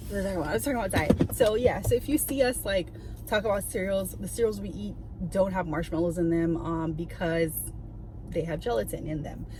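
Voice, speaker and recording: A young woman with a raspy voice chatting casually with many fillers for her vlog while out driving and shopping. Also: medium pitch, a little fast. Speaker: vlogger, stay-at-home mom. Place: in a car on the road, then in stores. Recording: loud car and road noise. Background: traffic and car noise.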